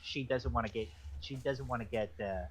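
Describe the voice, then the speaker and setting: A man joking in a casual book club chat, self-deprecating and lively in tone. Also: mid-range voice, normal speed, breathy edge. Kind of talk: casual banter. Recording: dull lo-fi mic.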